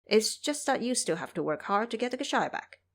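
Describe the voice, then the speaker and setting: A patient Buddhist master for a fantasy audio drama, read by a woman. He speaks politely and in measured phrases, with a mild temper. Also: a little high, big pitch swings. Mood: mild concern.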